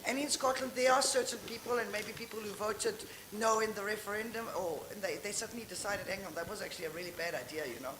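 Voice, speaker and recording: A woman in the audience making a comment, loud and emphatic, with self-deprecating asides. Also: medium pitch, fast talker, hardly any pauses. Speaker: audience member. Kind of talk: comment from the floor. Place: conference hall. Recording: echoey hall with audible noise.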